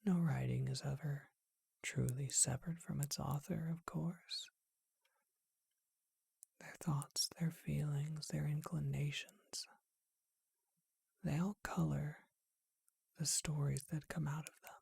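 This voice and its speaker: A performer voicing a charming, courteous, bookish vampire shopkeeper in a breathy, husky whisper, with genteel and hesitant phrasing, for an ASMR roleplay. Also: mid-range voice, fairly even intonation, slow, long pauses, a little mellow, no echo, studio quality, heavily acted. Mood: wistful, reflective. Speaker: bookshop owner.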